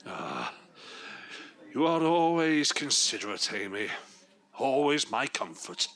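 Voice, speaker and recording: An older man acting a proud, pompous, shabby-genteel father in a period audio drama, grandiose and halting when flustered. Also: mid-range voice, very animated, crowd noise. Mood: weary, tender. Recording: echoey hall reverb, music behind.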